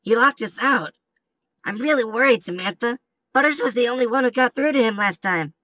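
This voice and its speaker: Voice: mid-range voice, animated, brisk, sharp tone, no room sound. A performer voicing a worried, loyal cartoon schoolboy in an amateur comic dub, earnest and anxious.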